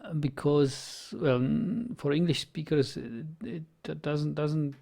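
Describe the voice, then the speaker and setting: Slow, creaky man musing. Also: fairly high pitch, dark tone. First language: Czech. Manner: hesitant, musing, many ums and restarts. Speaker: let's play host. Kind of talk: gameplay commentary.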